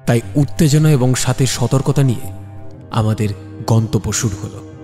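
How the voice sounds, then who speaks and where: A man's voice: mid-range voice, normal speed. A man giving dramatic scripted narration of a detective story. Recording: long reverb, music underneath.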